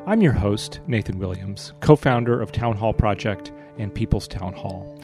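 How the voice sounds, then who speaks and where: An American man with a slightly husky voice, speaking as the host of an interview show. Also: fairly low pitch, lively intonation, moderate pace, a little mellow, soft background music. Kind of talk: scripted show introduction and interviewing.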